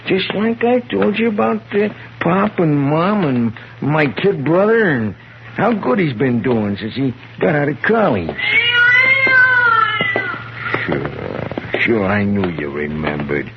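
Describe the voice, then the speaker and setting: Simple-minded, gravelly, swooping watchman. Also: a little high, big pitch swings, unhurried. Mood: fond, confiding. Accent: American. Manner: hesitant, childlike, plain-spoken. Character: simple, timid, devoted, lonely night watchman. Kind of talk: 1950s radio drama acting. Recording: noisy, muffled vintage radio recording.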